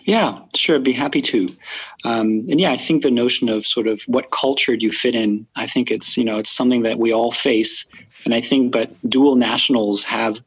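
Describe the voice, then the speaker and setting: A man from France, a podcast guest, speaking thoughtfully in a casual interview, with many pauses and fillers. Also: mid-range voice, fairly even intonation, husky, no room sound.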